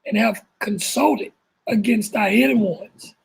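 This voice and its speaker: A man with a high, very husky voice and a gravelly edge reads verses aloud for the group. He takes the words slowly and deliberately. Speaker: panel member reading scripture.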